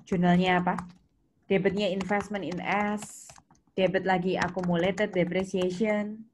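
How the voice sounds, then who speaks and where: A woman speaks as a teacher in an interactive lecture, with a medium-pitched voice. She explains in an instructive way and often checks with questions. Background noise, typing and clicks sit behind her. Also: level tone, a little slow. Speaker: accounting teacher.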